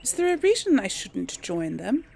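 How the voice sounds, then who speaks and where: Woman role-playing a creaky-voiced, headstrong young noblewoman. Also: medium pitch, sing-song, normal speed, a little mellow. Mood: curious, assured. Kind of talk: role-played game dialogue.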